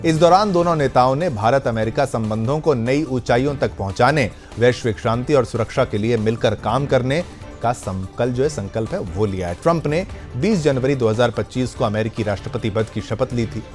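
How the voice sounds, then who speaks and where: A man's voice: medium pitch, normal speed, a little mellow. Male news anchor over background music. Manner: explanatory, repeats and rephrases points for viewers. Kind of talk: news presentation with conversational explanations. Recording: music bed under the voice.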